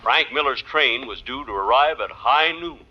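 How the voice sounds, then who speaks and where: A man playing a town marshal who narrates with wry, mock-solemn deadpan in an American radio comedy. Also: mid-range voice, lightly acted. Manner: deadpan, measured comic pauses. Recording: muffled, noisy old radio recording.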